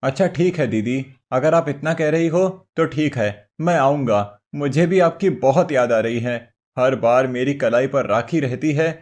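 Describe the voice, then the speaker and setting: A man's voice: medium pitch, unhurried, hardly any pauses, darkish tone, natural voice. A man voicing a dutiful, affectionate younger brother in a cartoon story, earnest and placating. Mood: reassuring. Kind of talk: Hindi cartoon moral story acting.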